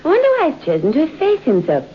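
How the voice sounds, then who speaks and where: A woman's voice: high-pitched, huge pitch swings, fast talker, highs cut off. An actress playing an effusive, gossipy young society woman in a vintage radio drama. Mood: gushing.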